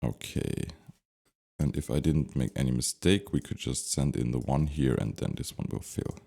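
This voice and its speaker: A man giving an unscripted software tutorial, thinking aloud step by step as he instructs. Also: extremely low pitch, normal speed, gravelly.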